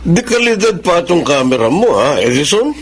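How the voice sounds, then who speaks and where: A man's voice: sits high, very animated, normal speed. A man playing an encouraging, fatherly prison warden in a Filipino radio drama.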